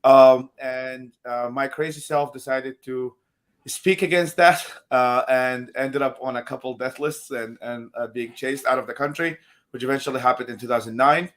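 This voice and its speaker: A man in his thirties, originally from Iraq, tells his own story as an interview guest. His voice sits at an ordinary middle pitch. He speaks reflectively, pausing on ums and uhs as he recalls each part. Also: fairly even intonation, fairly bright tone. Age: 30s. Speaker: guest, nonprofit founder.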